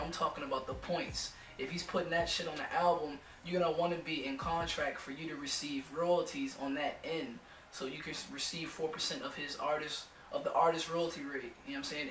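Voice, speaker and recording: A hoarse young man in his twenties giving blunt, profane advice to music producers in a casual home studio vlog. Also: a little high, a bit bright, reverberant, recorded from a distance, low-quality recording. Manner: blunt, swears freely, lots of "you know what I'm saying".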